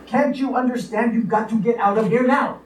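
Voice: mid-range voice, fairly even intonation, a little fast, lightly acted. An actor playing an exasperated, scheming young son with an urgent, sarcastic manner in a comic radio play. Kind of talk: old-fashioned comic radio play acting. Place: live radio play performance in a room. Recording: distant echoey live stage, noisy.

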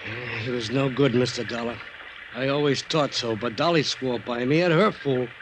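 An actor playing a loyal small-time ex-convict, eager and plain-spoken with an American accent, in an old radio drama. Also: male voice, mid-range voice, moderate pace, close to an everyday voice. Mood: subdued, worried. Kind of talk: 1950s radio drama acting. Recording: noisy old radio serial recording.

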